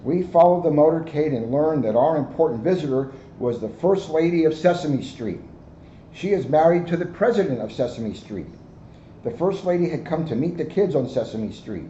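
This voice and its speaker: A police officer, a man, reading a storybook aloud to children in an expressive, deliberate way. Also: on the high side, dull audio. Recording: roomy, band-limited, faint background noise.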